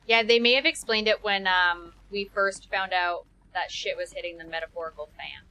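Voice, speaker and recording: A woman's voice: fairly low pitch, normal speed, bright, forceful tone, rough recording. A woman game streamer giving casual playthrough commentary, muttering reactions to herself as she plays.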